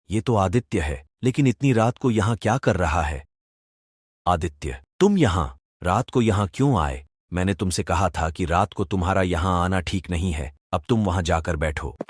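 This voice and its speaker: A man's voice: low voice, lively intonation, normal speed, slightly rough, no room sound, clean studio sound. A man voicing a protective middle-aged farmer father in a cartoon, firm and fatherly when he scolds. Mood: stern. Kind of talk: Hindi cartoon dubbing.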